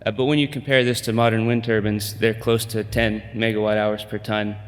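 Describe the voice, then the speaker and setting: Uomo che parla in sala riverberante. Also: male voice, lowish voice, level tone, brisk, big-room sound. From United States. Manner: hesitant, many fillers and restarts. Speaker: company presenter. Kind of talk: conference pitch presentation.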